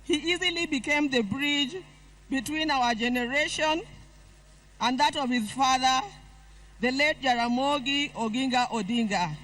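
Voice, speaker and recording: A woman's voice: sits high, unhurried, fairly bright tone, rough recording. An older woman with a Kenyan accent reading a formal eulogy aloud. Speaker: eulogist at a funeral.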